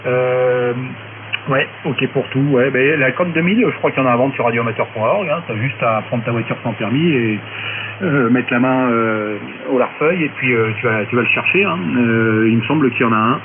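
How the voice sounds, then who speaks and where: A man's voice: mid-range voice, moderate pace. A man in a casual, technical amateur radio chat, colloquial and matter-of-fact with hesitations, over a narrow, noisy shortwave link.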